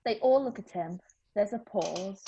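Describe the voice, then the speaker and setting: A woman's voice: mid-range voice, a little slow, mellow, slightly rough, highs cut off. A woman reading the stage directions aloud in a plain, matter-of-fact way at an amateur online play reading.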